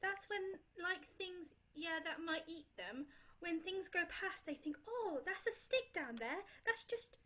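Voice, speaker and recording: A woman's voice: extremely high pitch, animated, a little slow, fairly bright tone, a little hiss or hum, full character voice. A woman reading a chattering little boy in an amateur nativity play reading. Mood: chatty. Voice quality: rambling, eager. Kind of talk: amateur play reading over a video call.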